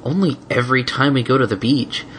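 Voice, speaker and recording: A man's voice: medium pitch, fairly quick, fairly bright tone, slight background noise, voice pushed up, lightly acted. A man voicing a prim, coaxing female unicorn guardian in a fan fiction story, sweet but firmly insistent. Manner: coaxing, silken, primly insistent. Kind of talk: fan fiction audiobook character voice.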